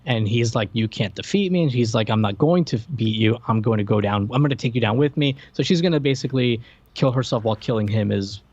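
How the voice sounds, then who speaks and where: A man's voice: mid-range voice, fast, a little mellow, no room sound. A man co-hosting a podcast, casually recapping a movie in a conversational retelling style. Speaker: podcast co-host. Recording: dry, slightly dull audio.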